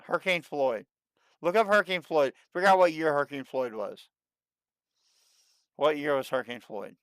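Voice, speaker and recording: Excitable man in his thirties, smooth voice. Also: a little high, normal speed, no echo. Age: 30s. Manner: excitable, repeats himself. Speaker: livestream host. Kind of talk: casual chat.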